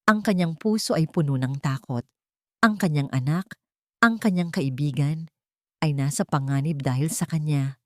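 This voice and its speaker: Low-voiced Filipina woman narrating a drama. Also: moderate pace, dry recording, studio quality. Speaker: audio story narrator.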